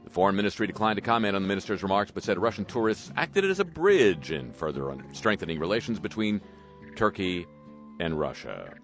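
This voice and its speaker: A man's voice: low voice, sing-song, fairly quick, a bit bright, faint music behind. A man hosting a talk show, reading news items with wry, sarcastic asides. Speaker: host. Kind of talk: radio talk commentary.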